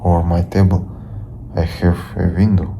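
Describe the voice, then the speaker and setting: A young man with a Russian accent, a native Russian speaker, telling a story from his bedroom in halting English, repeating and restarting his words. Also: deep voice, level tone, gravelly, highs cut off, slight background noise. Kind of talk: casual storytelling to camera.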